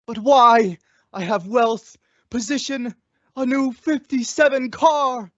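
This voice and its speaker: A woman playing a pleading, lovesick rich gentleman suitor in a melodramatic 1950s-style radio commercial. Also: fairly high pitch, takes it slowly, muffled recording. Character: Charles, pleading, lovesick wealthy male suitor.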